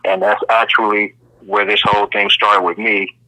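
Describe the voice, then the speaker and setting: A man's voice: on the low side, very animated, moderate pace, gravelly, bright, forceful tone. A middle-aged American man from Ohio telling his story casually as an interview guest, rambling and repeating phrases, over what sounds like a phone call. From Cincinnati, Ohio, United States. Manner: rambling, repeats phrases, often says "you know".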